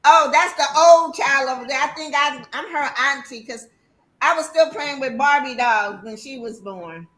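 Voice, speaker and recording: A woman's voice: high voice, moderate pace, fairly bright tone. A woman in her sixties chatting casually on a live stream, teasing and motherly, blunt in her opinions. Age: 60s. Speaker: guest.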